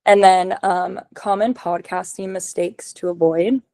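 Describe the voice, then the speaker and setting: A woman giving a casual webinar presentation on a video call, running through tips as lists with many ums and likes, her voice going hoarse. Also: on the low side, steady tone, a little slow, warm tone, dry recording. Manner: casual, lots of ums and likes, list-like delivery. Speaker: podcast production consultant.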